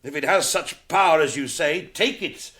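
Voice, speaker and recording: High-voiced, proud, impatient warrior. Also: male voice, normal speed, bright, forceful tone. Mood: challenging. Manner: forthright, challenging, declamatory. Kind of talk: classic British radio fantasy drama acting.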